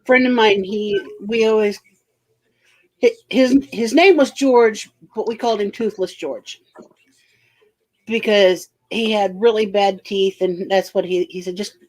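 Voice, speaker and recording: An easygoing woman chats on a livestream with a friend. She chimes in with her own loose, offhand anecdotes. She sounds relaxed throughout. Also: mid-range voice, a little slow, poor audio. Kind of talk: casual chat.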